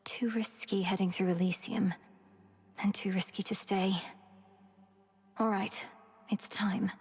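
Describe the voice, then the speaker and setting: An actress playing a determined, solemn young witch princess in a video game, speaking in an earnest, formal, measured way. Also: mid-range voice, steady tone, slightly rough. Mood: quiet resolve. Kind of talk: video game character dialogue. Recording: music behind the voice.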